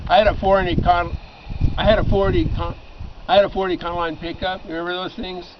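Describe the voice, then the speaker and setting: A man's voice: flat delivery, fast. A middle-aged American man reminiscing casually, telling anecdotes in a chatty, informal way. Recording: dull lo-fi recording with clear rumbling noise.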